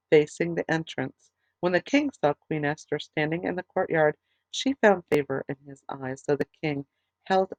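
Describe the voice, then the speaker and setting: A middle-aged woman teaching a Bible study, reading scripture aloud in a plain, steady way, her voice tired and fading late at night. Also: low-pitched, no room sound, poor audio. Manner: plain, steady reading with small halting restarts.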